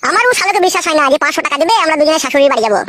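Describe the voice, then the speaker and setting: A brash young cartoon boyfriend played by a voice actor with a high, pitched-up voice. He rattles along very fast and swoops widely, crude and pushy in every phrase. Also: animated, heavy background noise. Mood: irritated. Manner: brash, foul-mouthed. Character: crude, pushy, money-minded young male lover. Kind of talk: crude comic cartoon dialogue.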